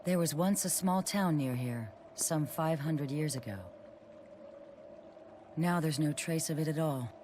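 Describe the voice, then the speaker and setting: A woman's voice: low-pitched, measured pace, mellow, slight background noise. An actress voicing a proud, aloof and melancholy ancient female spirit of ice in a fantasy game, reserved and formal. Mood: wistful. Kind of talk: video game cutscene voice acting. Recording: game music behind the voice.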